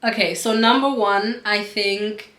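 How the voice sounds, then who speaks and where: A young woman whose first language is German chats with a partner in a rambling, giggly way, and her pitch sits in the middle range. Her voice carries a noticeable room echo. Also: fairly even intonation, unhurried, fairly bright tone. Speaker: co-host. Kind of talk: casual chat. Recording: echoey room.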